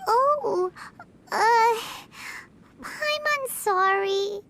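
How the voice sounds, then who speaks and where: A tiny fairy sidekick with a very high, squeaky childlike voice. She is chatty and excitable, swooping up and down in cartoonish game style. Noise sits clearly behind her. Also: drawn-out words, heavily acted. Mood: sheepish, uneasy. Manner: speaks of herself in third person, exclamatory. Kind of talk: cartoonish video game voice acting.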